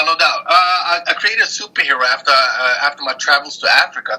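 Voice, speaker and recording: A man's voice: mid-range voice, breathy edge. A man raised in Norway, a comic creator, talking earnestly as an interview guest over a call. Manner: earnest, steady, a bit halting. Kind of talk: casual interview. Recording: tinny phone-like line.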